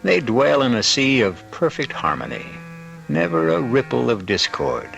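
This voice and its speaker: Gravelly, wry male radio mystery host. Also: mid-range voice, wildly swooping pitch, a bit bright, lo-fi sound, faint music behind. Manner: wry, knowing, deliberate. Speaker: radio drama host and narrator. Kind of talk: theatrical scripted narration, old-time radio.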